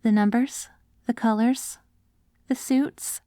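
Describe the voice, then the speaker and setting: Casting: woman to play hopeful, devoted wasteland wife for ASMR drama. Higher-pitched, gently coaxing, very dry sound. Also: fairly even intonation, slow, dry recording. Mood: gentle, methodical. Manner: tender, coaxing, speaks directly to a loved one. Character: devoted, hopeful wife caring for her zombie husband after apocalypse. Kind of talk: ASMR audio roleplay.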